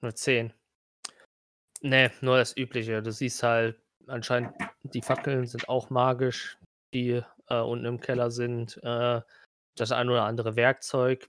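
Male game master, even delivery with little melody, hesitant improvised narration, dry recording. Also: mid-range voice, normal speed, no room sound.

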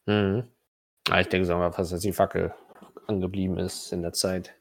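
A man's voice: low voice, level tone, moderate pace, creaky. A man improvising narration as the game master of a tabletop role-playing game.

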